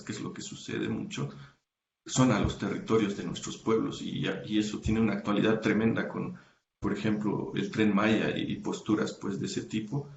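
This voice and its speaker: A man being interviewed as a researcher, explaining his academic work carefully, pausing often with "eh" and correcting himself mid-sentence. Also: fairly low pitch, roomy sound, muffled recording, a little hiss or hum. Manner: thoughtful, many hesitations and self-corrections. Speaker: guest researcher.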